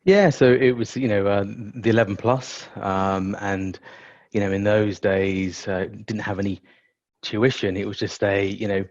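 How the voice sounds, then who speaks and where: Measured man on a dull line. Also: lowish voice, steady tone. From England. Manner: measured and careful, with frequent "uh" and "you know". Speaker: interview guest, hospital clinical leader. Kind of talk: conversational interview answers.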